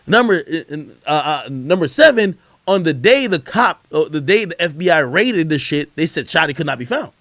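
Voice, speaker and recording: Animated man with a wide-swinging voice. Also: on the high side, normal speed, hardly any pauses, no room sound. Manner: animated, slangy, swearing freely. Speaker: livestream host commenting on hip hop. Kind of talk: casual livestream chat, storytelling.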